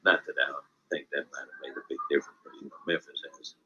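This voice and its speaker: A man's voice: rumbling low, level tone, quick pace, muffled recording, noisy background. A man, an author, reflecting on local history in a rambling interview.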